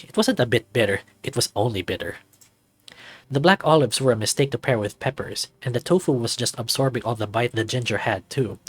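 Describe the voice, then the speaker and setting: A man giving measured, formal first-person narration of a scripted story. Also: mid-range voice, slightly rough, no echo.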